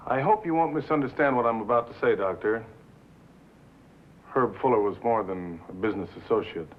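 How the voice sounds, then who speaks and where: A pious, insistent male broadcasting executive played by an actor in a 1950s film drama. His voice is on the low side, and his manner is formal and unctuous. The soundtrack is narrow and tinny, with faint noise underneath. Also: brisk, close to an everyday voice. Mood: solemn, formal.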